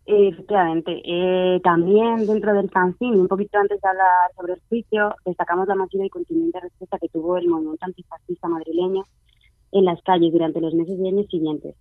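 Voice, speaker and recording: Young Spanish-accented man, high voice, earnest, halting with fillers, tinny phone line. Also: lively intonation, vocal fry, dry recording. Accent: Spain Spanish. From Alcorcón, Spain. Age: young adult. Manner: earnest, with frequent fillers and restarts. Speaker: guest, member of a youth collective. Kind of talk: casual radio chat.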